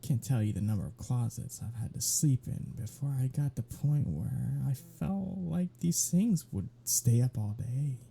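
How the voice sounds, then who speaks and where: A man playing a sly, flirtatious vampire in an ASMR roleplay, with a sardonic, teasing drawl that often drops to breathy murmurs. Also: mid-range voice, lively intonation, measured pace, hardly any pauses, heavily acted. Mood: casual, wry. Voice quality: husky, breathy, dark. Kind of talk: ASMR roleplay audio drama.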